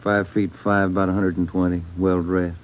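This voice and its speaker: An actor playing a dry, steady police detective partner in a mid-century radio crime drama, with a laconic manner. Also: male voice, sits low, normal speed, natural voice. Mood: matter-of-fact. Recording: dull old radio broadcast.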